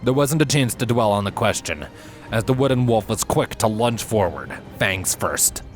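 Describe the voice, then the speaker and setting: A man's voice: fairly low pitch, animated, normal speed. A man reading a scripted fantasy story aloud as its narrator. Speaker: fan fiction reader, narrator. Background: background music.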